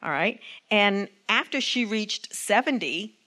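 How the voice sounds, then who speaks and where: A woman's voice: medium pitch, animated, slow, pushed and bright. Woman preacher, earnest teaching sermon, mid-range voice, calls for responses. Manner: earnest, asks the congregation for responses.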